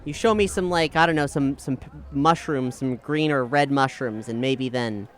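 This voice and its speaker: A man's voice: mid-range voice, moderate pace, close to an everyday voice. A man playing a skeptical, sarcastic adventurer in improvised tabletop roleplay, with a dry delivery.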